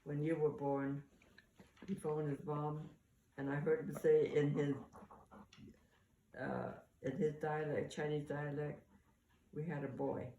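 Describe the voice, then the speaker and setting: An older woman, a mother casually sharing family memories in a halting, conversational way. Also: deep voice, flat delivery, dark tone. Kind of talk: casual oral-history reminiscence. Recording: echoey, distant mic, faint room noise.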